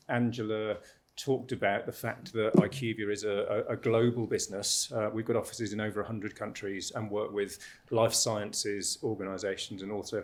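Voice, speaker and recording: A man facilitating a panel and presenting a report, with many fillers and restarts. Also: on the low side.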